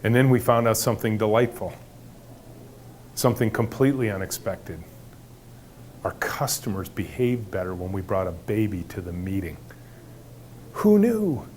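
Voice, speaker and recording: A middle-aged man with a big booming voice, telling workplace stories to an audience and acting out the dialogue with animation. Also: moderate pace, pauses often, a little hiss or hum. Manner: animated storyteller acting out dialogue, some restarts. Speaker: company leader giving a talk. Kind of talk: storytelling talk to an audience.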